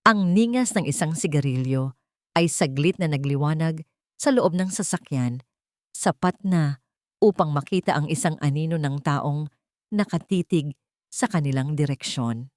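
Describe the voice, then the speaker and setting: A woman narrates a dramatic story in a low, grounded voice. Her delivery is measured and steady, and the recording is dry and close with no room sound. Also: dry recording.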